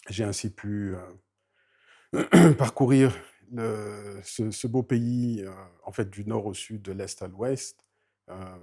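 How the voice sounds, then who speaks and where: Hesitant low-voiced man, dark tone. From Rwanda. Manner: hesitant, many fillers, formal wording. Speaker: family member introducing himself. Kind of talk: halting spoken self-introduction.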